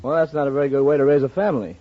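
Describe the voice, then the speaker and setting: A man's voice: mid-range voice, steady tone, very fast. An American man from New York state giving short, hesitant, plain answers as a radio quiz contestant. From New York state, United States. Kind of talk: brief answers in a radio interview. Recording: dull, noisy 1950 radio broadcast with loud audience.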